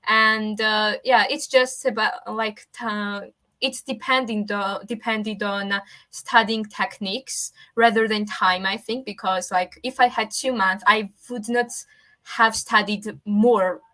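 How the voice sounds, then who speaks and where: Young woman student, bright sounding, lots of uh's, hesitant delivery. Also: mid-range voice, steady tone, slow pace. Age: young adult. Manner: many hesitations and fillers. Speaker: student panelist.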